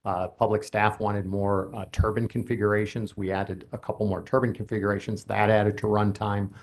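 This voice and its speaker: A man testifying as an expert witness at a regulatory hearing, explaining technical planning points in a steady, explanatory way. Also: lowish voice, normal speed. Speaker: utility company expert witness.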